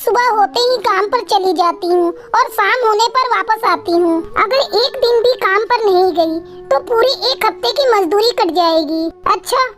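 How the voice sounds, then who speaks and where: A woman's voice: extremely high pitch, a little slow, few pauses, music in the background. A woman voicing a humble, sorrowful widowed mother bird in a cartoon moral story, with a pleading manner. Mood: weary.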